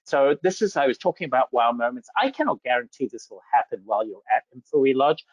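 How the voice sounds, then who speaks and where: A man's voice: medium pitch, expressive, fairly quick, no room sound. A man from Zambia giving an enthusiastic slide presentation about safari travel, full of superlatives. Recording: dull lo-fi dry audio.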